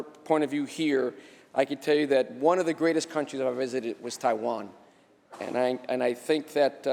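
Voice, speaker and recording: A man, a state senator, delivering a halting floor speech with repeated phrases. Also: mid-range voice, a little mellow. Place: large indoor legislative chamber. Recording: echoey hall with long reverb.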